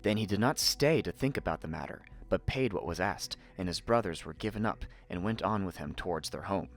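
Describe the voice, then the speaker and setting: A man narrating a fairy tale in an expressive, theatrical storytelling manner. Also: sits low, brisk.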